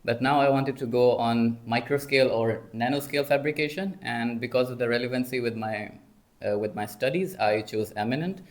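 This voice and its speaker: A man's voice: mid-range voice, fairly quick. A man in his twenties from Pakistan with a Pakistani accent, a student answering conversationally and searching for words. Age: 20s. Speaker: master's student, podcast guest.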